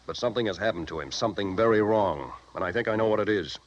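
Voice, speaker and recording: A worried, earnest insurance boss, acted by a man who presses his case with urgent pleading. His voice sits low and the words come fast, heard on a vintage radio drama recording. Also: lo-fi sound, natural voice. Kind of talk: 1950s radio drama acting.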